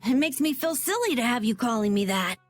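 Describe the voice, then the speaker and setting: A woman voicing an earnest, self-doubting young boy in an animated role-playing video game. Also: a little high, brisk. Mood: unsure, bashful. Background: faint background music.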